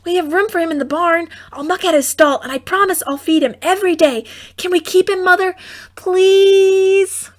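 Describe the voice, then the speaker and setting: A woman's voice: extremely high pitch, normal speed, voice pushed up. A woman voicing an eager, pleading little farm girl in a fairy tale audiobook. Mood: whiny pleading. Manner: pleading, excitable.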